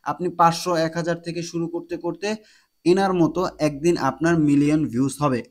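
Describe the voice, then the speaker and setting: A man narrates a tutorial. His voice sits a little high. He explains things directly to viewers as the host. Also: fairly even intonation, brisk.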